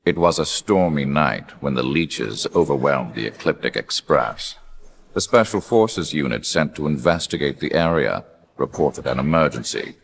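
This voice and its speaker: A man voicing a cold, arrogant, scheming superhuman video game villain in a measured, theatrical, menacing delivery with a gravelly voice. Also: rumbling low, fairly bright tone, full character voice. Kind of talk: scripted video game villain narration and dialogue.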